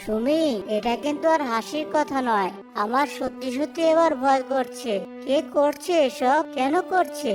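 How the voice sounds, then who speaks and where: A man plays a cautious young cartoon husband in a high voice. He comes across as hesitant and uneasy, with clear music behind him. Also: a little slow. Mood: worried. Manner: hesitant, worried. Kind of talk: cartoon voice acting.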